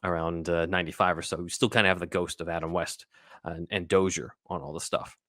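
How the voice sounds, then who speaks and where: A man with a low, crisp-edged voice hosts a podcast. He explains things casually and conversationally, in a very dry recording. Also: a little fast, fairly bright tone, no echo. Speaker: podcast host.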